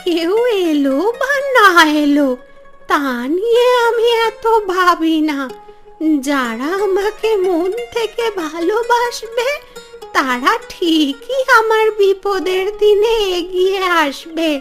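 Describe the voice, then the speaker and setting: A woman voicing a stubborn, nostalgic, kind-hearted elderly village grandmother in a cartoon, speaking in a slow, plaintive, drawn-out way. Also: very high-pitched, animated, a bit bright, faint music behind, exaggerated voice. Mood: wistful, firm. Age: older. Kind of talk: cartoon story dialogue.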